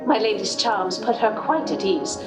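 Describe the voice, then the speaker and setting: A woman playing an eager, devoted assistant in a period radio mystery, speaking in an earnest, proper and excitable way. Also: medium pitch, normal speed, music in the background, natural voice, poor audio. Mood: earnest storytelling. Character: eager, devoted young female assistant telling her own tale. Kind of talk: dramatic first-person narration and period radio acting.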